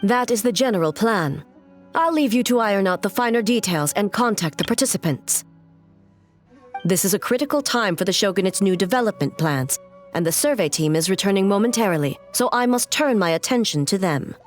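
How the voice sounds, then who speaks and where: A woman plays a stately female ruler from a video game, in a mid-pitched voice. She is formal, composed and quietly stern, with faint music behind her. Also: lively intonation, a little fast, close to an everyday voice. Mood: firm, composed.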